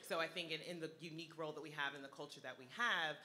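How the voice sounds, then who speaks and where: Brisk, bright woman asking a question. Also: low-pitched, steady tone. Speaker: audience member asking a question. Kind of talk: question from the floor. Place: large conference hall.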